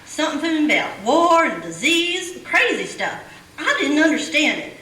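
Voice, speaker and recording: A woman's voice: high-pitched, very animated, measured pace, bright, forceful tone, heavily acted. A woman playing a flustered, apologetic small-town woman bringing the sheriff news. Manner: hesitant, apologetic. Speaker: townswoman Bonnie Hopkins. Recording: roomy echo, a bit distant, faint hiss.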